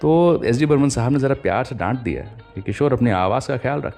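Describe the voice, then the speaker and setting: A man's voice: medium pitch, lively intonation, brisk, gravelly, a little mellow. A man hosting a radio show, telling anecdotes in a storytelling manner and voicing the quoted exchanges. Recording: music playing behind the voice. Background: music.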